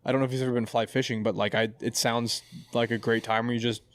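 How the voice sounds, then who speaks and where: A man's voice: mid-range voice, flat delivery, fast. A man in his late twenties hosting a casual podcast chat in a lodge, rambling, jokey and often correcting himself. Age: 20s. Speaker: podcast host. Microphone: podcast mic.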